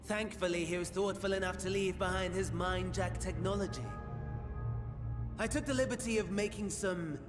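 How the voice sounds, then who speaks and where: Male actor as mocking aristocrat villain, high voice, genteel, theatrical, game cutscene, music underneath. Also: moderate pace, lightly acted. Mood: smug. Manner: theatrical, genteel, mock-polite delivery. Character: suave, mocking aristocratic male villain, cruelly courteous host. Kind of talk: scripted video game acting.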